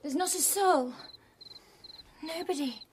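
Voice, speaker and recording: An actress playing a highly strung, frightened young colonial wife in a radio drama, fretful and emotional. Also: female voice, slow pace, background noise. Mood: shaken, bitter. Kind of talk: period radio drama acting.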